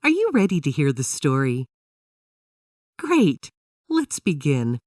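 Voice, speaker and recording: A woman narrating a scripted children's story in an expressive, instructive way. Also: sits low, takes it slowly, no echo, studio quality, distant mic.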